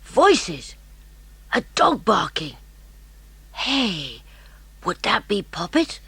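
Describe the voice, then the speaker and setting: A woman playing a clever, inquisitive British schoolboy detective, brisk and emphatic, in a dramatised audiobook. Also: medium pitch, big pitch swings, gravelly, a bit bright, slightly rough, dry recording, full character voice. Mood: excited, confident. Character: clever, keen, bossy schoolboy detective, eager and polite.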